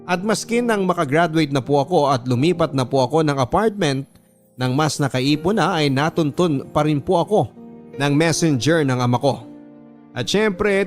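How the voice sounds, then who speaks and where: A Filipino man narrates a radio drama story in the first person. His voice sits a little high and sounds earnest, with music underneath. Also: moderate pace.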